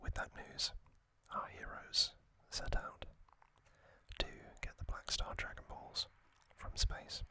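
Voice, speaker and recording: Slow whispering male ASMR narrator. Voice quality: breathy unvoiced whisper. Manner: gentle, hushed, deliberate. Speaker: ASMR host.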